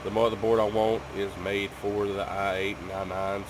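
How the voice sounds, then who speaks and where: A man's voice: lowish voice, flat delivery, normal speed, background noise. A man who is sick with a cough, chatting casually to his viewers at home in a rambling way, full of ums and restarts. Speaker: gaming YouTuber.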